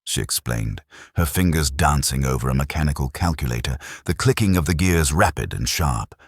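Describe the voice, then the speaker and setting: Very deep dramatic male narrator. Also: animated, normal speed, breathy edge, dry recording, clean studio sound.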